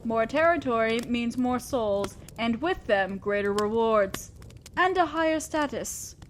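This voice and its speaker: A woman's voice: a little high, animated, unhurried, slight background noise. A woman playing a fair-minded but ambitious demon lord boss in a scripted audio drama, speaking formally and directly to an underling, with a sly teasing streak. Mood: ambitious, businesslike.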